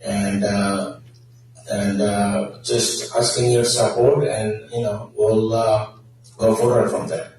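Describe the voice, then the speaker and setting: A man running for city council speaks at a public forum, pausing often with fillers as he answers. His slightly low voice comes from a distant mic and rings around a big echoey hall, with faint noise underneath. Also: flat delivery, music in the background. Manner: hesitant, many fillers.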